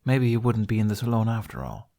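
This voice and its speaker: A man's voice: fairly low pitch, sing-song, fast talker, vocal fry, dark tone. A man reading scripted fan fiction aloud as an amateur story narrator.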